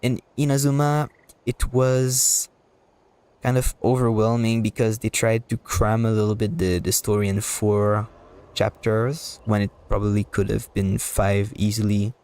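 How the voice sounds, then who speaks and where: A man giving casual live commentary as a game streamer, rambling and halting as he repeats words. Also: on the low side, flat delivery, a little mellow, clean tone.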